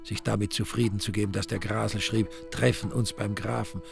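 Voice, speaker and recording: An actor narrating a radio crime drama in a dry, ironic, literary way. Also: male voice, fairly low pitch, level tone, fairly quick, creaky. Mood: dry, wry. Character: wry male narrator of a village crime story. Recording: music behind the voice.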